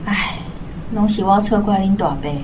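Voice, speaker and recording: A woman's voice: medium pitch, moderate pace, darkish tone, far from the mic, slight background noise, close to an everyday voice, rough recording. A woman playing a tearful, remorseful older mother with a Taiwanese Hokkien accent in a radio drama.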